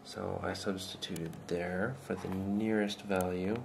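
A man giving an informal, halting demonstration of an electronics project, thinking aloud as he goes. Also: low voice, steady tone, gravelly, noisy background. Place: indoors at a tabletop workbench. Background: music playing from small speakers.

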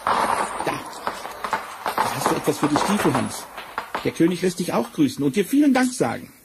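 A man's voice: fairly high pitch, big pitch swings, normal speed, creaky. A man playing a sly, bossy talking tomcat in a fairy-tale radio play, theatrical and wheedling. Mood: sly, confident. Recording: noisy vinyl record transfer.

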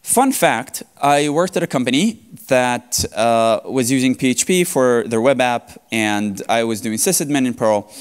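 A man's voice: medium pitch, clear, resonant tone. A man in his thirties, a native Hebrew speaker, giving a playful technical talk in a large conference hall on a headset mic. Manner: playful, joking, animated with hand gestures.

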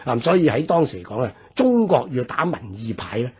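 A man's voice: mid-range voice, sing-song, fairly quick, husky. A man in his sixties with a Hong Kong Cantonese accent, recounting memories and history in a reflective radio talk. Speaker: radio commentator.

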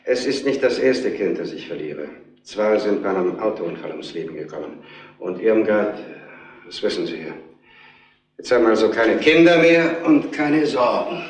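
An actor playing a weary, bereaved older father in a radio crime drama, grave, measured and resigned. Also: on the low side, a little hiss or hum, close to an everyday voice. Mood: resigned grief. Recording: roomy old radio play recording.

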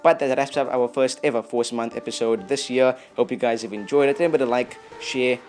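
A man's voice: medium pitch, steady tone, a little fast, darkish tone, soft background music. A young man presenting a casual tutorial in a jokey, self-deprecating, chatty way. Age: young adult. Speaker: host of a video effects tutorial channel. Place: small dim room indoors.

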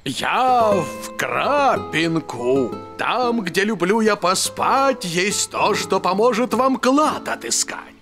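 A kindly old-salt elf pirate captain for a children's cartoon. He speaks slowly and theatrically, with huge swoops in pitch. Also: sing-song, music in the background, full character voice. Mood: playful, mysterious. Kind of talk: Russian-dubbed children's cartoon acting.

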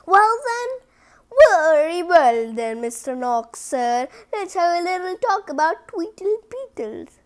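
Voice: very high-pitched, very animated, slow, voice pushed up, exaggerated voice. A reader voicing a pushy, teasing male fox from a storybook in an insistent, coaxing way.

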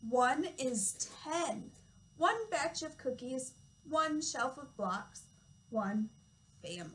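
A woman's voice: high-pitched, lively intonation, slow, a bit bright, a little hiss or hum, rough recording. A young woman, a toddler teacher, reading a picture book aloud with simple, instructive phrasing aimed at small children. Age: young adult. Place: small classroom, indoors.

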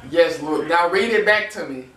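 Young male prank caller, American accent, slightly high voice, recorded at a distance in a roomy space. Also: moderate pace, fairly bright tone. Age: teenager to young adult. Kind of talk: prank phone call. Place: small room indoors on a couch. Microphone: camera a few feet away. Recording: echoey, distant camera mic, faint noise.

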